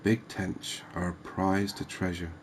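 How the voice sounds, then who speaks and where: Slow, low-pitched man, flat and gentle. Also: a little hiss or hum. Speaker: gaming video host. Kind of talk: soft-spoken ASMR game commentary.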